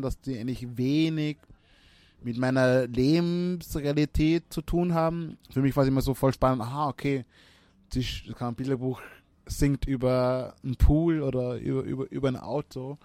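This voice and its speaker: Give me a man, musing out loud with restarts, dark relaxed tone. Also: medium pitch, no room sound. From Austria. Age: 30s. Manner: reflective, many restarts and fillers. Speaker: musician. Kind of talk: interview answers.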